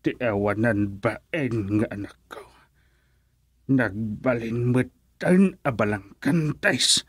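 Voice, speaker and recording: Radio drama old father, drunk and aggrieved, slow delivery, dry close sound. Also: medium pitch, husky, dry recording. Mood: aggrieved, bitter. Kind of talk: Ilocano radio drama acting.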